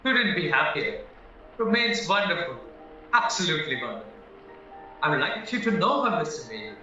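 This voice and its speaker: A man's voice: a little high, very animated, moderate pace, pushed and bright, full character voice. A man acting in an audio play as a naive, anxious young husband accused of murder, earnest and rambling as he protests. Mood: adoring. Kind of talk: stage-style audio drama acting. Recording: very echoey, muffled, distant, music underneath.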